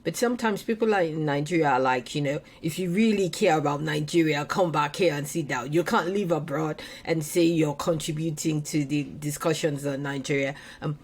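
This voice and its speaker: A woman's voice: low-pitched, moderate pace, hardly any pauses, slightly rough. A woman hosting an interview, asking questions and encouraging her guest with frequent short affirmations. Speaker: podcast host.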